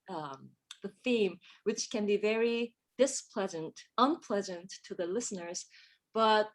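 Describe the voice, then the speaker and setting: A woman composer from Korea who speaks English, reflecting thoughtfully in an interview and often searching for her words. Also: on the high side. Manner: reflective, searching for words, many fillers and restarts. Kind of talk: casual conversational interview.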